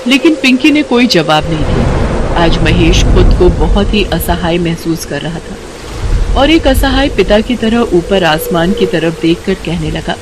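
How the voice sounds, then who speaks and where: A woman narrating a scripted children's moral story as a storyteller, expressive and dramatic. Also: fairly low pitch, a little slow, noisy background. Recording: loud rain and ambience behind.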